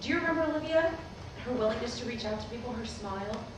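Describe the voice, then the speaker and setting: A pragmatic, businesslike preacher's wife acted by a woman in a stage play. She talks quickly in a slightly high voice, heard from a distance in a very echoey hall. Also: lightly acted. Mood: brisk, insistent. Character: pragmatic, brisk pastor's wife running church business. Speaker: actress in a staged reading. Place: theatre at a live staged reading. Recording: echoey, noisy hall recorded from far off.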